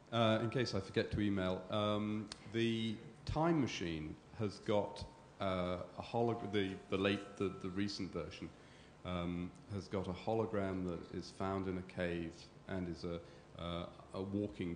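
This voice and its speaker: A man in a conference audience, offering a comment in a halting way and searching for his words. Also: deep voice, darkish tone. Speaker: audience member. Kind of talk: question-time comment. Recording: echoey hall, faint room noise.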